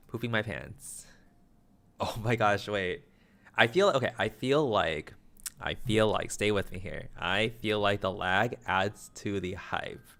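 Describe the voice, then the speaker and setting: A young man streaming a game, chatting casually with viewers in a flat, low-energy way, full of self-deprecating asides. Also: clear, resonant tone. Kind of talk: casual livestream chat.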